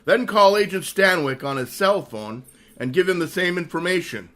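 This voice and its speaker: A man playing a forceful, theatrical vigilante sleuth. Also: high-pitched, animated. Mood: confident. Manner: theatrical, deliberate, commanding. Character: stern, self-assured male vigilante super sleuth. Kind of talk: radio mystery acting.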